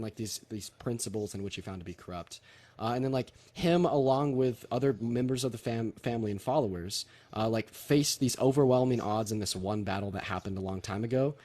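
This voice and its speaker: A man's voice: on the low side, a little mellow. A man hosting a heated, informal debate, arguing in an insistent, combative and taunting way. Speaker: debate host.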